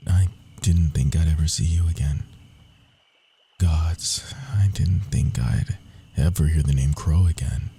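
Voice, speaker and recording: A man playing a sly, cocky rogue assassin in a fantasy audio roleplay, speaking softly and theatrically, often dropping into whispers. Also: unhurried, soft background music, exaggerated voice. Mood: stunned, flustered. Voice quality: low, gravelly, husky.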